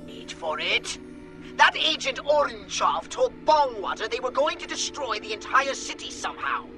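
A scheming, pompous alien commander from a video game. The voice sits very high and leaps around in pitch, savoring wordy, superior remarks. Music plays underneath. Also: male voice, expressive, normal speed, sharp tone, heavily acted. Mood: instructing, dry. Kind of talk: video game cutscene acting. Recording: music behind the voice.

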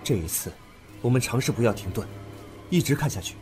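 A man acting in a Chinese audio drama as an earnest, thoughtful young man, expressive and musing. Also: mid-range voice, fairly quick, over music, lightly acted. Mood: resolved.

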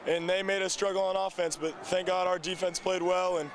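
A young football player giving steady, composed postgame answers on a stadium field at night. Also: male voice, high-pitched, fairly quick. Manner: steady, composed stock phrases. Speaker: high school football player. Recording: crowd noise in open stadium.

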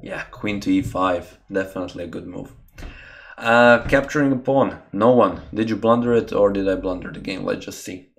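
Deep-voiced man streaming chess. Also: moderate pace, vocal fry. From Croatia. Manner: thinks aloud about moves, chats to viewers between moves. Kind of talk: live game commentary with chat. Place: small room indoors.

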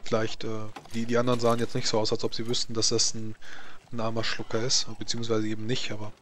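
A man improvising narration as game master of a tabletop roleplaying game, haltingly searching for words. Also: medium pitch, completely flat delivery, fairly bright tone, slight background noise.

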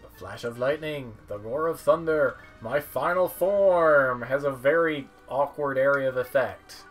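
A man commentates on a video game in a casual, musing manner. He speaks haltingly with a mid-pitched voice, over game music. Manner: halting, musing, reads on-screen text aloud. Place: indoor room at home, seated.

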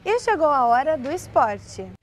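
A woman's voice: high voice, very animated, unhurried, over loud music. A young woman presenting a local TV newscast from a studio, reading items with a few self-corrections. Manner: reads from notes, small restarts. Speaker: news anchor. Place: indoor studio set. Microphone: lapel mic.

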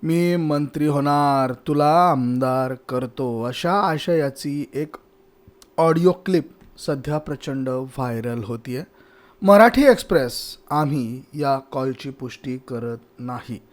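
A man's voice: medium pitch, animated, slow pace. A man hosting a news channel, explaining in an emphatic, repetitive way. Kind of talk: news commentary to camera.

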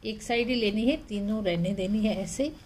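A woman's voice: medium pitch, moderate pace, a little mellow, slight background noise. A woman hosting a craft tutorial, narrating step by step in a plain, instructional manner.

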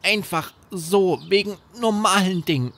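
A man's voice: high-pitched, measured pace, a bit bright, voice pushed up. A man voicing young, earnest, slightly worried boys with childlike simplicity in a children's bedtime story.

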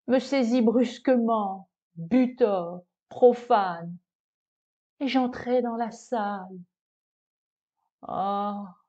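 A woman voicing a vain, naive cheese in a recited poem, lamenting its fate in a plaintive, theatrical way with dramatic pauses. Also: medium pitch, warm tone, highs cut off. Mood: indignant, plaintive.

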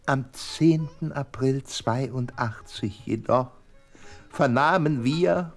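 A man's voice: medium pitch, slow, faint music behind. An actor playing a nervous, eccentric, hypochondriac little man in a radio drama, with theatrical, jittery exclamations. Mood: nervous, confiding. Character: nervous, eccentric hypochondriac little bald Englishman, easily flustered.

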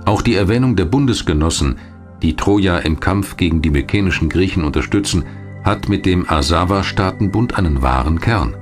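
A man narrating a scripted history documentary in a measured, articulate storytelling manner. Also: sits low, animated. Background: music.

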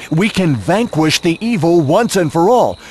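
An actor playing a grave, commanding, scheming priest in a video game, speaking dramatically. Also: male voice, on the high side, big pitch swings, unhurried, slight background noise. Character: stern, urgent, scheming sinister priest. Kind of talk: video game cutscene acting.

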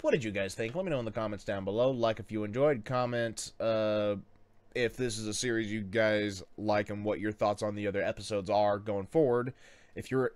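Halting young man, close dry mic. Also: lowish voice, darkish tone, no room sound. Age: young adult. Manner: halting, thinking aloud. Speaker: reaction video host. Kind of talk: casual unscripted commentary. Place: small bedroom indoors. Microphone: close microphone on a boom arm.

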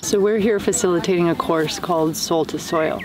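A young woman from the United States, an herbalist and permaculture teacher, speaking in an earnest, passionate and measured way outdoors in a rural field. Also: fairly low pitch. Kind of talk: casual interview talk. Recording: outdoor countryside noise. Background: birds in the countryside.

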